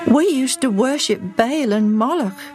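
A woman's voice: a little high, lively intonation, unhurried, darkish tone, faint music behind, pitched above the performer's own voice. A woman voicing an earnest, anxious young boy in a raised voice.

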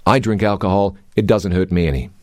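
A man's voice: low-pitched, brisk, darkish tone, no echo. A man hosting a Bible question-and-answer radio show, talking earnestly and reading scripture aloud in a preacherly way.

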